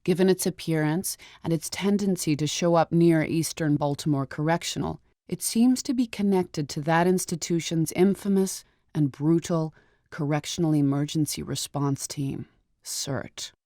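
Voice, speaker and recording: A woman giving measured, clinical scripted narration as a game's bestiary narrator. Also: low-pitched, warm tone, no room sound, clean studio sound.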